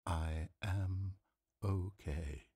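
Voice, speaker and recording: A man's voice: fairly even intonation, drawn-out words, dark tone, husky, dry recording, exaggerated voice. An actor playing a desperate office worker man who pleads and screams that he is real, over game music. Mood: quiet pleading. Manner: pleading, voice climbing high into screams.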